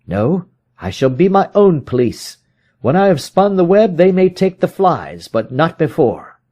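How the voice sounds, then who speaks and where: A man's voice: fairly high pitch, very animated, measured pace, warm tone, pitched above the performer's own voice. A man voicing a proud, brooding detective in an audiobook, with an emphatic, agitated way of declaring things. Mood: grimly determined. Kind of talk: dramatic audiobook character reading.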